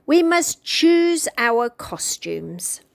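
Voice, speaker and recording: A woman's voice: fairly high pitch, big pitch swings, very slow, creaky, dry recording, heavily acted. A British woman voicing a kindly, fussing hen schoolteacher from a storybook, with an encouraging, motherly manner. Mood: cheerful.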